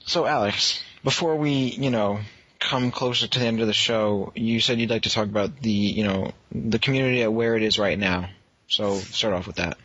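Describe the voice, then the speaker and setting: A man's voice: fairly low pitch, moderate pace, fairly bright tone, lo-fi sound. A man hosting a podcast in a casual, chatty style. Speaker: podcast host. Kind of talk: casual chat.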